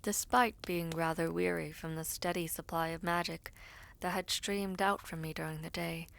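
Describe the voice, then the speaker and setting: A woman playing a formal, composed villainess devoted to her prince, speaking in slow, breathy, measured phrases in a fantasy audio roleplay. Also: on the low side, steady tone, fairly bright tone. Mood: somber, reflective. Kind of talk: fantasy audio roleplay with first-person narration.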